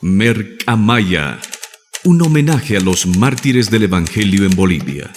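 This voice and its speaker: A man's voice: on the low side, animated, a little slow, faint music behind. A man solemnly announcing a radio drama from a script. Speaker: program announcer. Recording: long reverb, faint noise.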